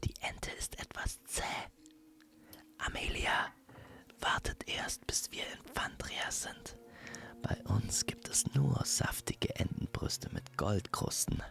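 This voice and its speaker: A man voicing a slimy, flattering young prince with an affected, courtly manner in a storybook audio tale. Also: mid-range voice, slow pace, vocal fry, in a whisper, pitched above the performer's own voice, heavily acted. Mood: whispered boasting.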